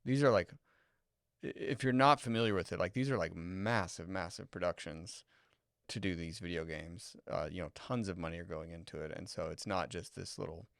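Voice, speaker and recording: A man's voice: low voice, moderate pace, vocal fry, no room sound, studio quality. A man co-hosting a podcast in casual chat, agreeable and explaining his points at length. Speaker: podcast co-host.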